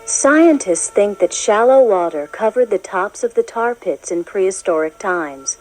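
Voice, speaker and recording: Woman, children's read-along narrator, scripted educational delivery, animated intonation, clear storytelling manner, music behind. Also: mid-range voice, unhurried, rough recording.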